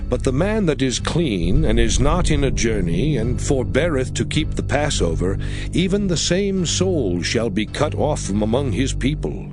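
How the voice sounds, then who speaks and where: A man's voice: mid-range voice, big pitch swings, measured pace. A man playing God as a solemn, commanding lawgiver in a dramatized scripture reading. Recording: music under the voice.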